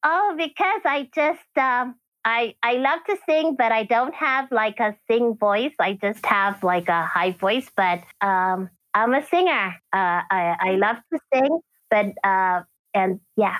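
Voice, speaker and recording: A woman from Kenya, a podcast guest, chatting casually and hesitantly, often pausing to search for words and laughing. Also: on the high side, expressive, a bit bright, no echo, low-quality recording. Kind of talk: casual interview chat.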